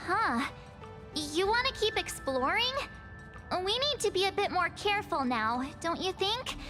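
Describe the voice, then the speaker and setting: A very high, perky teenage girl from a video game, chatty and expressive but cautious. Music sits behind the voice. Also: measured pace, full character voice. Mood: wary.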